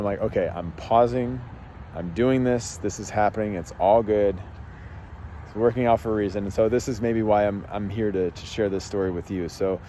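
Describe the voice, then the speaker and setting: A man with a mellow, dark voice tells a story in a loose, halting way, circling back and restarting his sentences. He speaks outdoors, and faint street traffic hums behind him. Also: on the low side. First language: English. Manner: rambling, many fillers and restarts. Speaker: podcast host and life coach. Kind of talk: casual storytelling monologue.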